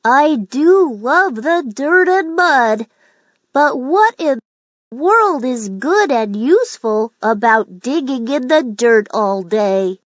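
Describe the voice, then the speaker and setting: A woman's voice: high-pitched, expressive, takes it slowly, no echo, lo-fi sound, voice pushed up. A woman voicing a glum young male earthworm, mopey and self-pitying but wistfully dreaming. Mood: wondering.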